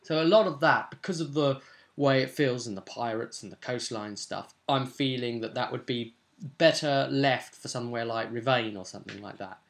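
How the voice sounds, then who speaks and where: A man's voice: mid-range voice, normal speed, creaky. A young adult man chatting informally to camera as a game master, thinking aloud in a rambling way in a room at home. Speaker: vlog host, tabletop game master. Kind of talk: casual vlog talk about a role-playing game. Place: small furnished room at home, indoors. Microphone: webcam or camera at desk distance.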